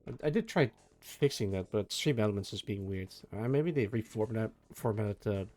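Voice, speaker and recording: A sleepy man chatting casually and mumbling to himself on a live stream while he works, with energetic music faintly behind him. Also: on the low side, normal speed. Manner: soft, meandering, mumbles to himself, trails off. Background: faint energetic soundtrack music.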